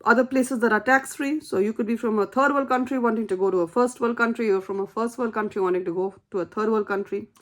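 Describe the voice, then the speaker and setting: A woman's voice: fairly high pitch, fast talker, hardly any pauses. A woman whose first language is not English, giving an earnest, encouraging tarot reading and repeating key phrases for emphasis. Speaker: tarot reader.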